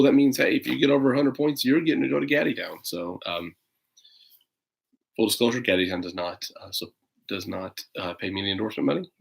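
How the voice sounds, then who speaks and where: A man's voice: mid-range voice, creaky, poor audio. A man in his thirties chatting casually and reading a children's storybook online, rambling and hesitant with playful asides. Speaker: parent reading a picture book for children online. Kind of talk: casual livestream chat and children's storybook read-aloud.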